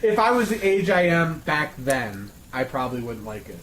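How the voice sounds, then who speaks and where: A man's voice: a little high, big pitch swings, moderate pace, fairly bright tone. A man chatting casually about films with a group, often repeating and rephrasing himself as he goes. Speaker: podcast panelist. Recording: roomy echo, background noise.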